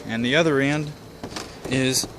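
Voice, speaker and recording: A man's voice: mid-range voice, a little slow. A man giving a casual, step-by-step walkthrough in a plainspoken, folksy way, recorded outdoors. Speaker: hobbyist presenter. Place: outdoors beside a house porch. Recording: outdoor recording with clear insect and bird noise. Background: insects, birds, outdoor ambience.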